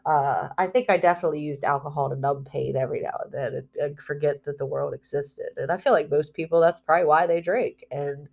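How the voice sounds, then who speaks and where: A woman with a fairly low voice talks into her own vlog in a candid, confessional way. She rambles through restarts and fillers, and slips soft laughs between her phrases. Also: fairly even intonation, brisk, creaky, hardly any pauses. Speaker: spiritual vlogger. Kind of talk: candid personal vlog talk.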